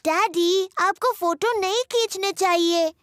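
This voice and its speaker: Casting: cheerful, bossy little piglet girl, very high voice, slow sing-song lines, cartoon dub. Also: a bit bright, dry recording, studio quality. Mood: scolding. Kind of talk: children's cartoon dubbing.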